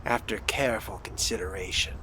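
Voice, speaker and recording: A man's voice: lowish voice, brisk, sharp tone, low-quality recording. A man voicing a reluctant, sardonic young man newly turned vampire in a game role-play, with a dry, understated delivery. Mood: dry, disgusted. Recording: clear background ambient noise.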